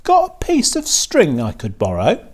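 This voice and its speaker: A man reads as an eager young pig from a children's storybook. The voice jumps up high and swings widely, earnest and full of cheerful, naive boasting. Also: wildly swooping pitch, unhurried, a little mellow, heavily acted. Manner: exclaiming, earnest.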